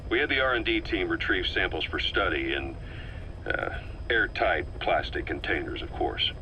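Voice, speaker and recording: A man's voice: deep voice, very animated, normal speed, bright, forceful tone, slight background noise, full character voice, rough recording. An actor playing a tough, suspicious military man who questions bluntly in a video game cutscene. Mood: inquisitive.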